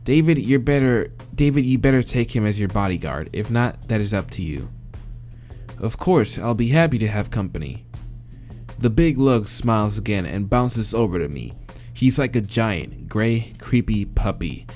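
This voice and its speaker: A man's voice: fairly low pitch, big pitch swings, normal speed, darkish tone. A man reading a visual novel aloud, breaking off to swear and laugh, with game music behind. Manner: swears and laughs between read lines. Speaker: let's play reader.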